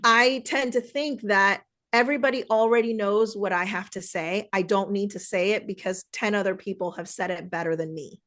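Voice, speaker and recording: A woman's voice: mid-range voice, normal speed, few pauses, fairly bright tone. A woman who coaches podcasters, speaking earnestly and reflectively as an interview guest. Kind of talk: conversational interview.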